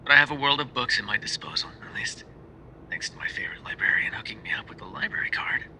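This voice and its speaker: A man playing a tender, sheepish, bookish mafia boyfriend in an ASMR roleplay, speaking softly and hesitantly as if on a phone call, often dropping to a whisper. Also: fairly low pitch, lively intonation, fast talker, full character voice. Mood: bored, wry. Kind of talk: ASMR roleplay voice acting, intimate phone voicemails.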